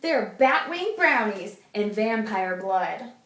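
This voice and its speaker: A woman reading aloud, voicing a bossy, teasing young girl in a storybook with a sharp, high manner. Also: animated, slow pace. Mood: mischievous. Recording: roomy, distant mic.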